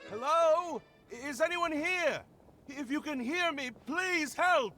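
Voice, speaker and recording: An actor voicing a pompous, cowardly aristocrat in a video game, haughty and formal with flustered stammering. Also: male voice, on the high side, sing-song, slow pace, fairly bright tone, faint music behind, heavily acted. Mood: panicked pleading. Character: pompous, cowardly aristocrat man, proud and helpless.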